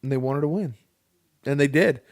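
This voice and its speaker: A man's voice: a little high, moderate pace, no echo, studio quality. A man co-hosting a casual podcast, reading a news article aloud with joking, sarcastic asides. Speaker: podcast co-host.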